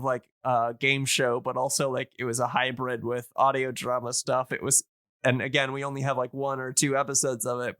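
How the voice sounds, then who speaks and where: A man's voice: medium pitch, sharp tone, clean tone, dry recording, studio quality. A man hosting a podcast, explaining things casually in a rambling, hesitant but enthusiastic way. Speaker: podcast host.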